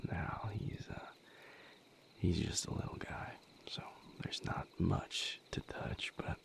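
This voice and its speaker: Guy doing a patient vampire healer for audio rp, really low n slow, soft reassuring breathy talk. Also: exaggerated voice. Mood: tender, encouraging. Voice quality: breathy, whispery, heavy vocal fry. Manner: soft, unhurried, reassuring, with long pauses. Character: gentle, patient male vampire healer mentoring his mate. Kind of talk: intimate audio roleplay, ASMR-like.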